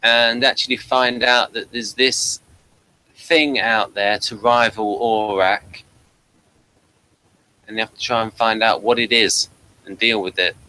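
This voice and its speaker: Bright-voiced man, halting review chat. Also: on the low side, steady tone, clear, resonant tone, low-quality recording. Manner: hesitant, halting, repeats words. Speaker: host of a review show. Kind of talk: casual audio drama review chat.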